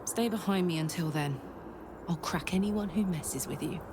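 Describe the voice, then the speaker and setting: A big-hearted, brash female soldier from a fantasy game, played by a woman, with a British accent and a slightly low voice. She talks bluntly, like a protective pal. Ambient noise is clearly audible behind her. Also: normal speed, close to an everyday voice. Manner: blunt, sweary, chummy. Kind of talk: video game character acting.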